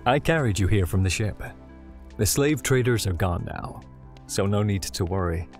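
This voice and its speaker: An actor playing a kind, earnest young adventurer who reassures others in an audio drama. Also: male voice, fairly low pitch, expressive, normal speed, soft background music. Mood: reassuring.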